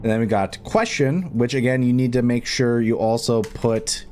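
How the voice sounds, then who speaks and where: A man explaining steps in a casual coding tutorial, haltingly thinking aloud as he goes. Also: medium pitch, a little mellow, soft background music. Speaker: tutorial host.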